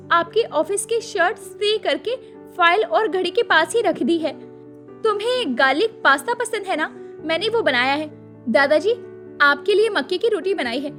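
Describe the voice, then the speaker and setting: A woman's voice: extremely high pitch, fairly bright tone. A woman playing a hardworking, harried young daughter-in-law in a dramatised family story, exclaiming theatrically. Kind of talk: dramatised Hindi moral-story acting.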